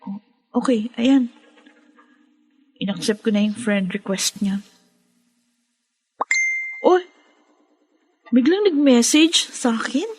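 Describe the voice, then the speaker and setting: A woman's voice: on the high side, lively intonation, takes it slowly, pauses often, slight background noise, low-quality recording. An actress with a Filipino accent playing a hopeful, devout, earnest young woman in a radio drama. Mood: excited, nervous.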